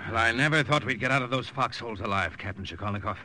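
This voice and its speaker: An actor playing a relieved, grateful army major with a plain soldierly manner in an old-time radio drama. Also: male voice, medium pitch, fast talker, over loud music, close to an everyday voice. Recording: noisy old radio recording.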